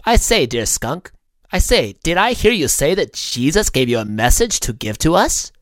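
A man plays a pompous turkey scholar from a storybook. The delivery is fancy and condescending, with lively swoops in pitch. The sound is close and dry. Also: normal speed, no room sound. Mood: pompous, scolding. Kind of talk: children's story character voice.

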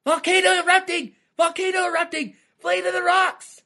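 A man voicing an excitable, anxious father lizard in a storybook, with dramatic exclamations. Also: a little high, unhurried, slightly rough, voice pushed up, full character voice. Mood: panicked. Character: excitable anxious male father lizard, brave but easily panicked.

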